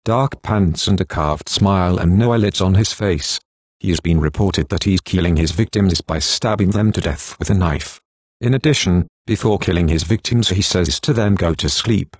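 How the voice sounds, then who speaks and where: A deep-voiced male announcer, acted by a man for a scripted emergency drama. He delivers reports and official warnings formally and at a measured step. The recording is dry, without any echo.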